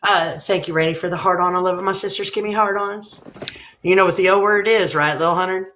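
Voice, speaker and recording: A woman's voice: lowish voice, fairly even intonation, fairly quick, fairly bright tone, a little hiss or hum. A woman from Texas with a Texan accent, hosting a live chat, teasing and swearing between laughs. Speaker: livestream host.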